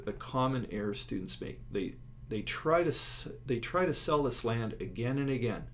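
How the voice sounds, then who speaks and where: A man giving an instructional lecture, explaining slides step by step and stressing key words. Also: medium pitch. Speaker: accounting instructor. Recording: clear steady background noise.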